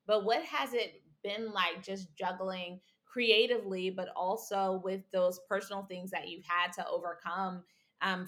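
A woman's voice: medium pitch, pushed and bright. A woman hosting a podcast, asking long, encouraging questions of her guests. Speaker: podcast host. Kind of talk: podcast interview.

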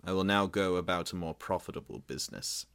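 A man voicing a proud, bitter young prince who speaks forcefully and resentfully. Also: deep voice, fairly quick, clear, resonant tone. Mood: defiant.

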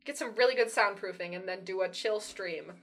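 A young woman co-hosts a loose podcast chat, talking in a bright, clear voice. She rambles through her points with fillers and frequent laughs. Her pitch sits in an ordinary middle range. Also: brisk. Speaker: podcast co-host. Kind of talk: casual chat.

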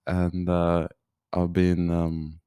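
A man's voice: low-pitched, monotone, drawn-out words, warm tone, smooth, no room sound. A man, co-hosting a casual podcast with short, laid-back remarks. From Portugal. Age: 20s. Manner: laid-back, brief remarks, laughs easily. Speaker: podcast co-host. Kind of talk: casual chat.

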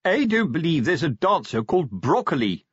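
A man's voice: a little high, big pitch swings, normal speed, fairly bright tone, husky, dry recording, dull audio, voice pushed up, full character voice. Man playing an embarrassed, stuffy watch sergeant. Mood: disbelieving. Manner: grumbling, disapproving. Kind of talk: audiobook character voice.

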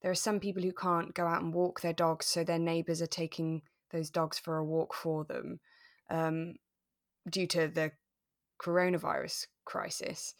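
A woman's voice: lowish voice, flat delivery, normal speed. A woman, chatting casually as a podcast co-host about a radio drama. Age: over 25, adult.

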